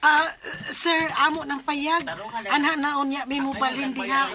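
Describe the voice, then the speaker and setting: A woman's voice: high-pitched, little pitch movement, measured pace, a crowd in the background. A woman acting and narrating as an earnest, respectful young daughter in a Cebuano Filipino radio drama. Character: earnest, respectful young daughter telling her family's problem.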